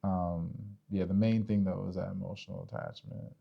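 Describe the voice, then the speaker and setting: A man's voice: sits low, steady tone, normal speed, gravelly, slightly rough, dull audio. A young man co-hosting a podcast, talking casually about relationships and explaining step by step while checking for agreement. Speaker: podcast co-host.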